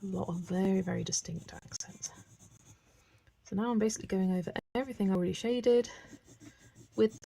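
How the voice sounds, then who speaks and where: Gravelly-voiced chatty English woman. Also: mid-range voice, a little fast, long pauses, mellow, slight background noise, poor audio. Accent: mild West Country English. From West Country, England. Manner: chatty, laughs often, thinking aloud. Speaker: live-stream host colouring. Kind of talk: casual live-stream chat.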